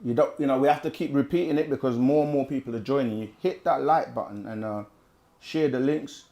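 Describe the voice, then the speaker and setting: A man's voice: medium pitch, a little fast, dark tone. A man hosting a live question-and-answer stream, casually reading viewer questions aloud and answering them at length. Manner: conversational, repeats himself, reads chat aloud.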